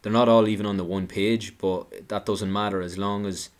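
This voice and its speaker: A man's voice: lowish voice, fairly even intonation, normal speed. A man giving an indignant, sarcastic political commentary, talking with frequent restarts. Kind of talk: monologue commentary.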